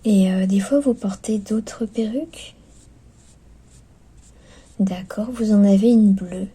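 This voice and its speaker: A woman speaks softly in an ASMR roleplay as clinic staff, often slipping into a whisper, with gentle, hesitant, attentive patter. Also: medium pitch, takes it slowly, slightly rough. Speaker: ASMR performer playing skeleton clinic staff. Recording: lo-fi recording with background noise.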